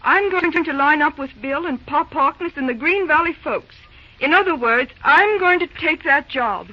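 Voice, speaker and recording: Spirited young heroine for golden-age radio female actor; high voice, earnest and independent, muffled vintage sound. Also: normal speed, no room sound, lightly acted. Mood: defiant. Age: young adult. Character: spirited, determined, independent young career woman. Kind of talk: 1940s radio drama acting. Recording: muffled old radio recording.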